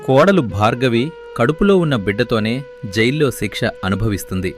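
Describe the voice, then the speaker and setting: A man narrating a scripted storybook tale in a steady way. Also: mid-range voice, moderate pace. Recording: faint background music.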